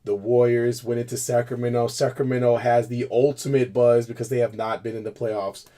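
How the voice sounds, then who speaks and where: A man talks through a casual sports chat, his voice raspy after a cold. He rambles loosely, laughs between lines and restarts his sentences. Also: medium pitch, level tone, a little fast, mellow. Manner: chatty, laughs often, trips over words. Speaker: co-host. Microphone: video call.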